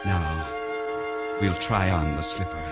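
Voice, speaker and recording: An actor playing a gallant, tender storybook prince with formal, romantic speech, in a 1950s radio play. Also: male voice, low voice, fairly even intonation, takes it slowly. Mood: tender, solemn. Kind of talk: 1950s radio fairy-tale acting. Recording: vintage radio recording, music behind.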